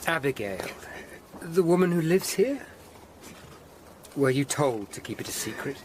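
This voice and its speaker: An actor playing a grave, courteous Tudor lawyer investigator in a radio drama, earnest and measured. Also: male voice, fairly high pitch, very animated, a little mellow, a little hiss or hum. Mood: gentle, probing. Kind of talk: radio drama acting, some narration.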